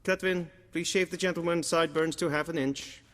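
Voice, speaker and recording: An actor playing a fussy, haughty imperial chamberlain in a video game, formal, pompous and precise. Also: male voice, fairly high pitch, fairly even intonation, fairly quick, close to an everyday voice. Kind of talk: video game dialogue acting. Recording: long hall reverb.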